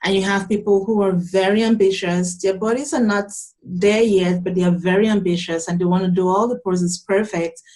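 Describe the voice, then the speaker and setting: A young adult woman from Cameroon, a yoga teacher calmly and earnestly explaining her practice in an interview. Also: mid-range voice, moderate pace, few pauses, rough recording. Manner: calm, earnest, explanatory with frequent restarts. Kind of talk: interview answers, conversational.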